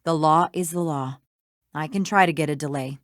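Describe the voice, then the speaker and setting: An actress playing a busy, sardonic small-town lawyer in a video game, with a dry, exasperated, matter-of-fact manner. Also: female voice, low voice, steady tone, normal speed, dry recording, close to an everyday voice. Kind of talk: scripted video game dialogue.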